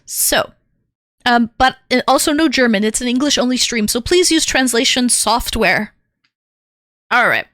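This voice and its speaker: A woman's voice: a little high, expressive, unhurried, a bit bright, dry recording, clean studio sound. A woman streamer telling a personal story to her chat, candid and rambling with lots of fillers. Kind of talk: casual chat to stream viewers.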